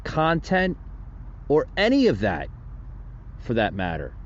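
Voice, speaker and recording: A man's voice: mid-range voice, huge pitch swings, slow pace, lo-fi sound, slight background noise. Rambling, indignant male podcast host. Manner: rambling and repetitive, with many fillers and restarts; indignant and preachy. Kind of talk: solo political commentary.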